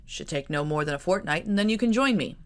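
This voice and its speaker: A woman's voice: lowish voice, animated, fast, lightly acted. A woman playing a wry, teasing army officer. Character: genial male army officer cousin, teasing.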